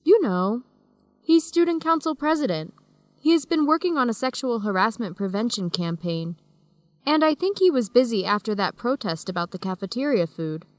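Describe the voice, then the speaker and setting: A woman's voice: fairly high pitch, expressive, normal speed, no echo, close to an everyday voice. An actress playing a friendly, easygoing young woman student traveler in a radio drama for English learners. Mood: chatty. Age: young adult.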